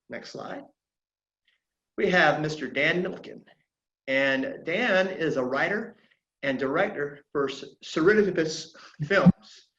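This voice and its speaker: A man's voice: fairly high pitch, a bit bright. Earnest man presenting a webinar. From South Carolina. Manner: halting, many filler sounds, earnest. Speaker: flight instructor presenting a safety webinar. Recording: dull lo-fi audio in an echoey room.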